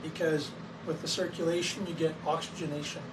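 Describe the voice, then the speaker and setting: A man with a hoarse voice from a cold, casually explaining his clinic's equipment to camera, with many fillers and an occasional cough. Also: on the high side, little pitch movement, a little fast. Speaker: wellness clinic owner. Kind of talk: casual educational talk to camera. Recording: distant mic with clear background noise.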